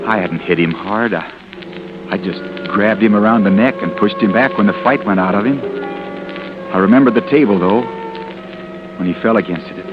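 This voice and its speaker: An actor playing a desperate man who killed by accident, brooding to himself in a hushed inner monologue, in 1940s radio acting. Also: on the low side, lightly acted. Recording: muffled old radio recording with music.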